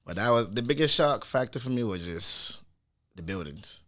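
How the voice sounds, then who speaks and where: A man in his twenties from Nigeria, a DJ guest talking in a relaxed, easygoing way with casual slang. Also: fairly low pitch, normal speed. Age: 20s. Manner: laid-back, easygoing slang. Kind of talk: casual podcast chat.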